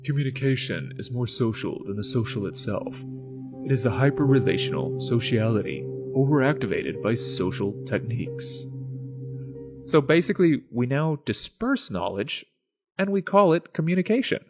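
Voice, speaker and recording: A man's voice: mid-range voice, sing-song, normal speed. A man hosting a podcast, explaining philosophy in a conversational lecturing monologue. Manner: conversational lecturing, rhetorical check-ins. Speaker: podcast host. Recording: dull lo-fi audio over music bed. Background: background music.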